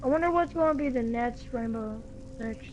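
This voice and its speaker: A woman's voice: a little high, moderate pace, darkish tone, dull audio, a little hiss or hum. A young woman chatting casually with a friend while gaming. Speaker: gamer streaming with a friend. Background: game music.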